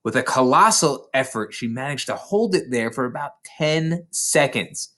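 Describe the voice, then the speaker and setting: A man reading a children's story aloud in an expressive, dramatic storytelling manner. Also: mid-range voice, moderate pace. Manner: dramatic, animated storyteller delivery. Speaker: storybook reader.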